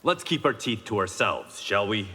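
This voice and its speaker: A wry, proud warrior of a fighting game, with a low voice that swings expressively. His lines are terse and guarded, set in a long hall reverb. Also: lively intonation, normal speed, sharp tone, breathy edge, heavily acted. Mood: dry, guarded. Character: proud, wry blind swordsman, former gangster. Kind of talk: video game fight banter.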